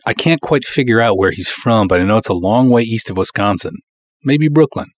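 A man's voice: lowish voice, expressive, fairly quick. A man reading a story as a wry, folksy small-town cop who narrates his own adventures. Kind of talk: storybook reading in detective-story first person.

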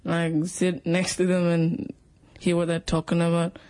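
Man, high pitch, monotone, halting speech, interview guest. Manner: halting, simple phrasing, leaning on "like" and "yeah".

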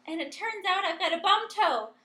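Coy, cheerful young wife, female performer, extremely high-pitched, radio-play style, distant echoey room. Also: animated, moderate pace, bright, forceful tone, full character voice. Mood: cheery, coy. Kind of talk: mock old-time radio play.

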